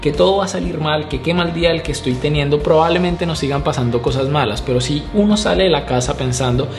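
Animated young man vlogging over music. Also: a little high, fast. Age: young adult. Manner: animated, talks with his hands, reflective. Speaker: urban cycling vlogger. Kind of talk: casual vlog to camera. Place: small indoor room at home. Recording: music playing behind the voice.

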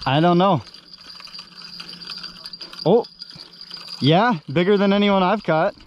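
A man's voice: high voice, takes it slowly, highs cut off. A man chatting casually as he fishes, with some mild swearing, recorded outdoors on a river sandbar. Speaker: angler filming a fishing outing. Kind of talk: casual chat while fishing. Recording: outdoor action-camera audio with background noise.

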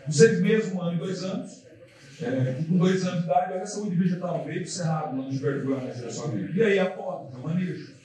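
A man's voice: high voice, brisk, warm tone. A man giving a casual seminar talk to an audience in a large hall, repeating himself with many fillers. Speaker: lecturer. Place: large dark lecture hall. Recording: echoey hall from far off, audience.

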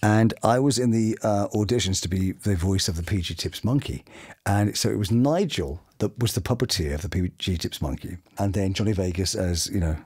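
A man's voice: lowish voice, brisk, breathy edge. A middle-aged British man telling anecdotes expressively as a voice actor in a casual interview. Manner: expressive, dramatic storytelling. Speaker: voice actor guest. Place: small home studio, indoors.

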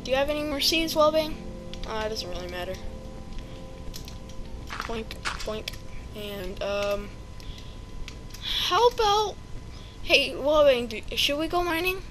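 A woman's voice: high voice, sing-song, slow, pauses often, low-quality recording. A woman giving casual let's-play commentary, chatty and hesitant with many ums and playful sound words. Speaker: video game player. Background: background music.

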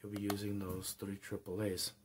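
A man's voice: fairly low pitch, monotone, normal speed, background noise. A man casually showing off a radio at home, thinking aloud with small hesitations. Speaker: product reviewer. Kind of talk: casual product demo.

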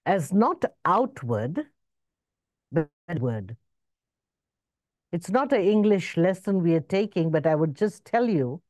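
Slow, measured woman on a video call. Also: darkish tone, no echo, clean studio sound. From born in Zambia, raised in Zimbabwe. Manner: slow, measured, rising and falling in pitch. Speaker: spiritual speaker. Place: indoor room with curtains. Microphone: video call webcam.

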